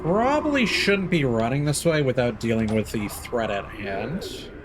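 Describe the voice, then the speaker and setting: A man's voice: mid-range voice, lively intonation, a little slow, background noise. A man doing casual live gameplay commentary, muttering to himself in short reactions over game sound. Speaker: video game streamer.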